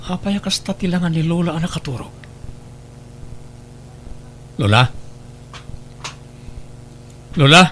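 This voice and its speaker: A man's voice: a little high, a little hiss or hum. A man playing a dutiful, grateful young student grandson in a radio drama, affectionate and earnest. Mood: alarmed, grieving. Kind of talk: Ilocano radio drama acting.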